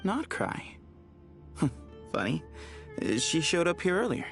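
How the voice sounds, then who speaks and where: A man's voice: a little high, sing-song, takes it slowly, gravelly, faint music behind, exaggerated voice. A man playing an earnest, articulate young scholar who explains things in a video game. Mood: amused, friendly.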